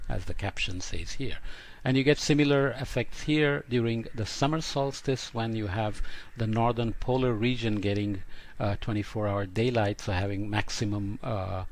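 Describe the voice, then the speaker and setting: A man giving an explanatory science lecture in a halting way, often pausing mid-sentence with filler sounds. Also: fairly low pitch.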